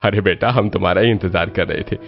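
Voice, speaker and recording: A man's voice: low-pitched, quick pace, gravelly, dull audio, faint music behind. A man playing a grateful middle-aged village father in a cartoon story.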